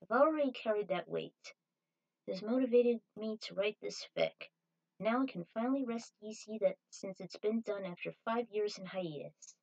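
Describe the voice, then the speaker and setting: A woman's voice: medium pitch, normal speed, vocal fry, a little mellow, muffled recording. A woman narrating her own fan fiction as an amateur author reading aloud.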